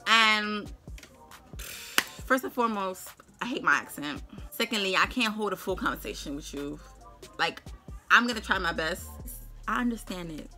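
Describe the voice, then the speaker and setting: A woman's voice: mid-range voice, lively intonation, moderate pace, long pauses, sharp tone, faint music behind. A young woman from Brooklyn, chatting to camera in her bedroom, playful and slangy, laughing often. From Brooklyn, New York. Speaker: vlogger. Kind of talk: casual chat to camera. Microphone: camera in front of her.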